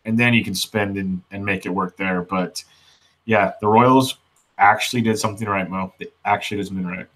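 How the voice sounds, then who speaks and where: A man's voice: fairly low pitch, fairly even intonation, a little fast, a bit bright. A man co-hosting a casual sports podcast, easygoing and agreeable. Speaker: podcast co-host.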